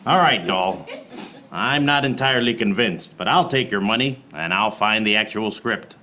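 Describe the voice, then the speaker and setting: An actor playing a wisecracking, world-weary private detective in a film noir radio play parody, with a deadpan delivery. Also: male voice, lowish voice, moderate pace, slight background noise. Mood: wry, cocky. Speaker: actor in lead role and narrator. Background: music behind the voice.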